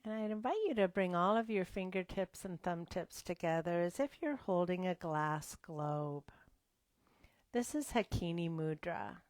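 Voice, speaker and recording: A woman leading a gentle yoga and breathing class, speaking softly and sometimes dropping to a whisper. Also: fairly low pitch, no echo, studio quality. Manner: slow, soft-spoken and gentle, drifting into whispers. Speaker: yoga instructor.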